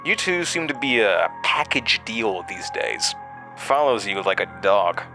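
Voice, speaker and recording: A man's voice: fairly low pitch, sing-song, unhurried, bright, forceful tone, faint music behind, heavily acted. An actor playing a malevolent entity with a man's borrowed voice, mocking and theatrical in a horror audio drama. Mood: smug, amused. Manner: mocking, sardonic, theatrical, toying menace.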